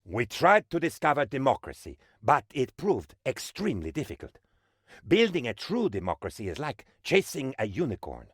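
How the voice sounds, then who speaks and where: Animated, bumbling dictator's aide. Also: male voice, medium pitch, moderate pace, breathy edge, no room sound, studio quality. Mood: gleeful, smug. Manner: theatrical, excitable, mock-official delivery. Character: eager, bumbling, cheerfully shameless male aide to a dictator. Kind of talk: comic video game voice acting, reporting to his leader.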